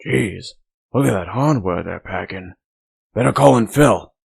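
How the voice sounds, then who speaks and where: Man doing a government agent, gravelly, husky, tense, wary, comic dub. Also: fairly low pitch, animated, a little slow, dry recording, studio quality, exaggerated voice. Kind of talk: comic book dub acting.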